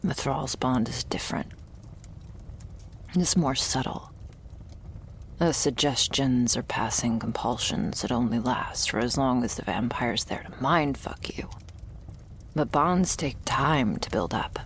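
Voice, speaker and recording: Woman acting a slow, creaky, sardonic vampire's servant. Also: a little hiss or hum. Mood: hushed, explaining. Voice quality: low, soft voice often dropping into whisper. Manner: dry, hesitant, foul-mouthed, sighing delivery. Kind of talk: ASMR roleplay acting, intimate and conversational. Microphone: close ASMR microphone.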